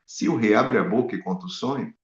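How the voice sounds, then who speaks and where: A man preaching a Bible study sermon, animated and full of rhetorical questions to his listeners. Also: fairly low pitch, quick pace, creaky. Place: in Spain. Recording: echoey, dull lo-fi room sound.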